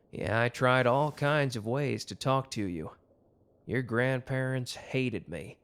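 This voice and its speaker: Man playing a young male love interest, medium pitch, casual, intimate, teasing, bashful, romantic audio roleplay. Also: slow, pauses often, a bit bright, clean tone. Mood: nostalgic.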